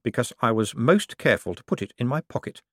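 A man's voice: mid-range voice, very animated, fast talker, no room sound, clean studio sound, voice pushed up. A man voicing a prim, polite male secretary who speaks deferentially and precisely in an audiobook.

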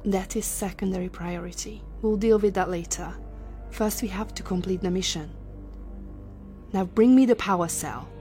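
A woman's voice: medium pitch, moderate pace, mellow, faint music behind, lightly acted. A woman playing a cold, commanding scientist in a sci-fi audio drama, speaking formally and imperiously. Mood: urgent, commanding. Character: cold, commanding female scientist leading a time-travel mission.

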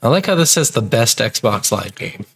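A man's voice: mid-range voice, very animated, moderate pace, fairly bright tone. A man co-hosting a casual gaming podcast, rambling and swearing freely as he talks about an old game. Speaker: podcast co-host.

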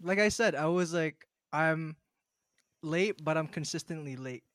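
A man's voice: on the high side, a little slow, fairly bright tone, dry recording, clean studio sound. A young man in casual chat with friends on a podcast, joking, laughing often and rambling. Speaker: podcast guest.

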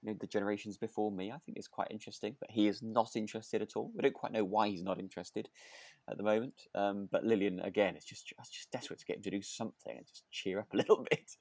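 A man co-hosting a relaxed, chatty podcast, talking in a good-humoured, hesitant way and often correcting himself. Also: on the low side, fast talker. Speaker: podcast co-host. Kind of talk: relaxed casual chat about a radio drama.

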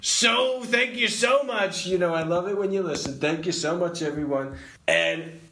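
A man's voice: high-pitched, normal speed, pushed and bright. A man playing a boastful older president in improvised comedy banter, pompous, rambling and repeating himself, in an echoey room. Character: boastful older male president, parody of a real politician.

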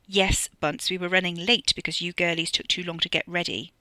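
A narrator plays a nervous, condescending posh young man in an audiobook. He sounds exasperated and patronising, with a tense, sharp tone in a dry recording. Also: mid-range voice, level tone, a little fast, no echo.

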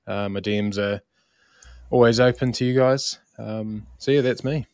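A man with a New Zealand accent, relaxed and unhurried, introducing himself as marketing lead with lots of ums. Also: fairly low pitch, smooth, no room sound, studio quality. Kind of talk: casual self-introduction on a livestream.

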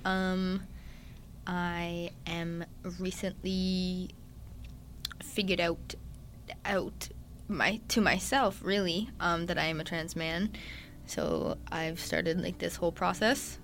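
A young man, a guest in a casual chat, talking openly and at length with lots of fillers and animated retellings. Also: high voice, slow pace, a little hiss or hum. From Newfoundland, Canada. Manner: chatty and open, many "like" fillers, animated retellings.

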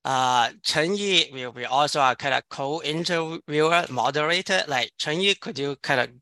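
A man's voice: fairly high pitch, moderate pace, sharp tone, no room sound. A man co-chairing a committee, moderating an online interview in a hesitant way, full of "like" and "kind of". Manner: hesitant, many "like" and "kind of" fillers.

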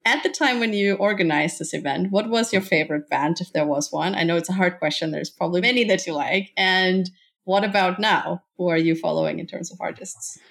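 A woman's voice: lowish voice, fairly quick, gravelly, a bit bright. A woman hosting an interview, asking questions in a friendly, inquisitive way. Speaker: interview host. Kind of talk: conversational interview.

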